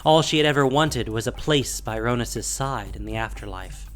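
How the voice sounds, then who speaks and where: A man narrating a scripted fantasy audio drama with measured delivery and dramatic pauses. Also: medium pitch, fairly bright tone. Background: faint music.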